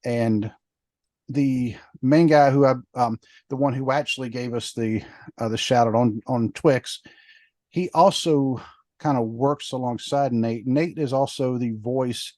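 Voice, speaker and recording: A man's voice: medium pitch, fairly even intonation, a little slow, darkish tone, dry recording. Man, casual podcast chat, meandering, filler-heavy, dead dry sound. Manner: rambling, chatty, many fillers. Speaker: podcast co-host.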